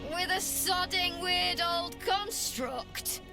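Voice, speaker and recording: High-pitched, brash fantasy fighter. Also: female voice, takes it slowly, over music, heavily acted. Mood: sarcastic. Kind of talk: video game voice acting.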